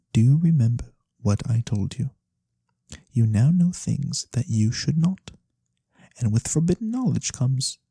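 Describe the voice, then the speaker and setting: A performer voicing a mysterious, courteous fantasy lorekeeper in a soft-spoken ASMR roleplay, breathy and theatrical with knowing pauses. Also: mid-range voice, no echo, studio quality. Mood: foreboding. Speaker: storyteller character.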